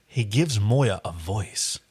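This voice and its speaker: A man's voice: fairly low pitch, animated, slow pace, darkish tone, slightly rough. A man co-hosting a podcast with casual, enthusiastic, wordy recap chat about television. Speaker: podcast co-host.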